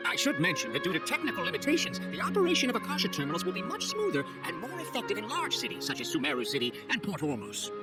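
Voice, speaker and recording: A polite male official from a video game, with a high voice and very animated intonation. He explains things formally and briskly over music. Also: exaggerated voice. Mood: formal, informative. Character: courteous male city official welcoming newly arrived travelers. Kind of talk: scripted video game dialogue.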